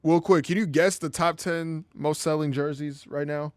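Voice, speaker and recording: This man co-hosts a sports podcast and sits a little above the usual male pitch. He drifts between laid-back remarks and bursts of excited, high-pitched argument. The sound is dry, close and free of room echo. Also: normal speed. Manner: animated, rises high when excited. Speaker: podcast co-host. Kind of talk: casual sports chat.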